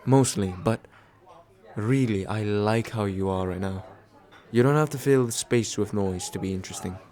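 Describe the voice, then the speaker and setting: A man playing a tender, nervous, attentive date in a soft, intimate ASMR roleplay, halting and gently self-deprecating with small stammers. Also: lowish voice, close to an everyday voice. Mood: sheepish, playful. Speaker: voice actor in an audio roleplay.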